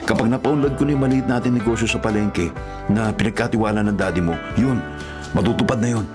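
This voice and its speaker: A man's voice: lowish voice, fast, close to an everyday voice. A man with a Filipino accent playing a sweet, hopeful young lover in a radio drama, affectionate and teasing. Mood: hopeful, tender. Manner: playful, affectionate. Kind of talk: Tagalog radio drama acting. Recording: radio drama with prominent music underneath.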